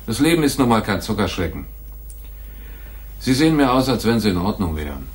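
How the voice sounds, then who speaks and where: A man's voice: fairly low pitch, very animated. An actor playing a big, tough, shady man in a noir radio play, skeptical and sardonic as he bargains. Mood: wry, bargaining. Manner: sardonic, wary, deliberate. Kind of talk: 1980s German radio play, noir dialogue.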